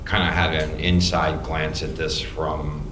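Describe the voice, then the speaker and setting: A man from New York with an American accent, speaking from the audience at a gallery talk in halting, heartfelt, repetitive remarks. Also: a bass voice, little pitch movement. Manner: halting, repeats words, heartfelt. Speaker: audience member. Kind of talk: casual, rambling remarks to a panel. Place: art gallery during a public talk. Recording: roomy and noisy gallery room.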